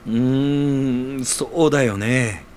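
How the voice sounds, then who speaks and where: An actor playing a gentle, considerate older-brother type with hesitant phrasing in an audio drama. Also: male voice, medium pitch, slow, slight background noise, lightly acted. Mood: gentle, thoughtful.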